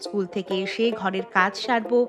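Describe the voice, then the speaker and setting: A woman voicing a caring but mildly strict village schoolteacher in a cartoon, questioning and gently chiding. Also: medium pitch, fairly even intonation, fairly quick, music in the background, lightly acted. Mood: concerned, chiding. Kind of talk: Bengali cartoon dubbing.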